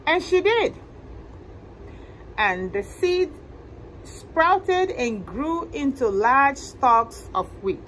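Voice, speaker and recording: Slow, high-pitched, animated woman reading aloud. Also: slight background noise, low-quality recording. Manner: slow, deliberate, expressive, asking listeners questions. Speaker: library storytime host. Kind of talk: animated picture-book read-aloud to children. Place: indoor library room with bookshelves.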